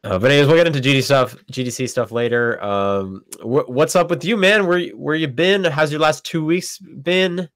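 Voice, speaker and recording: A man's voice: a little high, normal speed, hardly any pauses, dry recording. Stuffy-nosed man with a dry voice. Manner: animated storyteller, acts out quoted lines. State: stuffy, sniffly from a cold. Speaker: podcast host. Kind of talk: casual chat.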